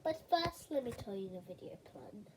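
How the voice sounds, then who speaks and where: A woman's voice: fairly high pitch, big pitch swings, moderate pace, heavy background noise. A teenage girl with a cold vlogging casually at home, rambling and often dropping to a whisper. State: has a cold. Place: home bedroom.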